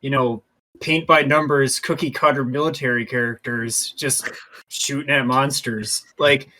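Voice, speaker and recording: A man with a bright, forceful voice at an average pitch chats casually on a gaming podcast. He gives his opinions in informal, conversational speech. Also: steady tone, measured pace, slight background noise, low-quality recording. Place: remote voice call over the internet.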